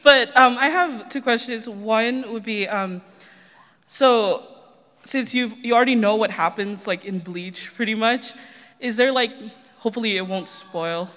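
Bright, high-pitched man in an echoey hall. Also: unhurried. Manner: hesitant, frequent "like". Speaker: audience member asking a question. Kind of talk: casual fan question. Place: large indoor convention panel room. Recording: echoey hall, long reverb.

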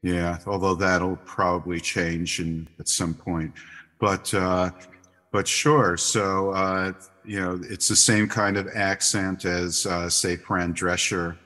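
A man hosting a podcast, asking interview questions in a measured, hesitant way with frequent uhs. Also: low voice, flat delivery, sharp tone, long reverb tail.

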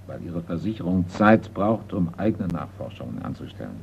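An actor playing a cautious, formal art appraiser in a radio crime drama, speaking in a measured way. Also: male voice, deep voice, big pitch swings, vocal fry, husky, exaggerated voice. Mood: cautious, discreet. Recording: noisy, tinny old radio play.

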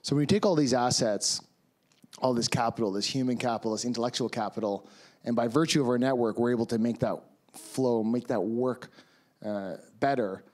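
A Canadian man in his thirties speaks on a conference stage through a handheld microphone. His voice sits at an ordinary middle pitch. He talks in a relaxed, conversational way, with frequent ums and uhs. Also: a little fast. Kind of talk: conference presentation. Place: large conference hall with audience.

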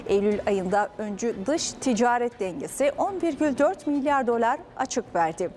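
A young woman reading business news as a studio anchor, in a crisp, measured newsreader delivery. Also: a little high, a little mellow, slight background noise.

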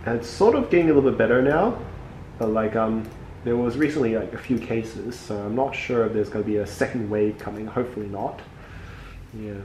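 A man's voice: lowish voice, fairly quick, a little mellow. Young male podiatrist from Hong Kong, easygoing small talk with a patient, echoey treatment room. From Hong Kong, moved to New Zealand young. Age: young adult. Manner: easygoing, uses "like" often. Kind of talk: casual chat with a patient while working. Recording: roomy echo, clear background noise.

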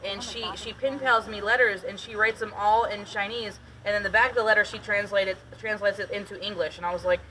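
A woman's voice: mid-range voice, steady tone, brisk, hardly any pauses, pushed and bright, slight background noise. A talkative woman from Detroit who later moved to Tennessee, guiding a tour and chatting casually with jokes and stories. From Detroit, Michigan; raised partly in Tennessee. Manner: joking, giggly, talkative. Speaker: cave boat tour guide. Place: boat on an underground lake in a cave. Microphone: passenger's camera at some distance. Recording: distant mic, people chattering around.

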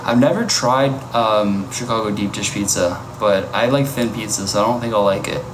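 A man's voice: fairly low pitch, normal speed. Chatty man on a noisy echoey livestream. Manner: chatty, reacting to viewer comments. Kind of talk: casual live-stream chat. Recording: echoey with loud ambient noise.

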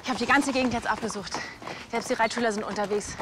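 An actress playing a brisk, matter-of-fact policewoman in a TV crime drama, outdoors. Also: on the high side, natural voice. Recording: clear outdoor noise, hoof clops.

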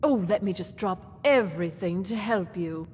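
An actress playing a snooty, sarcastic shopkeeper in a video game, with a haughty drawl. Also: female voice, fairly low pitch, lively intonation, moderate pace, a little mellow, close to an everyday voice. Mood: sarcastic, bored. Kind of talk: video game character dialogue. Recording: long reverb, faint noise.

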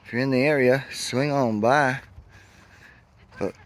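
A man's voice: mid-range voice, measured pace. A man narrating a casual travel vlog as he walks outdoors with a handheld camera, exclaiming at what he finds. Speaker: travel vlogger. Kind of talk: casual walking tour narration. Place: outdoors at a spring park.

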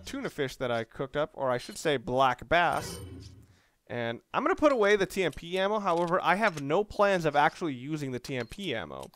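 A man giving unscripted gameplay commentary, explaining things in a halting, analytical way. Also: a little high, background noise. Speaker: let's play commentator. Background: video game sounds and music.

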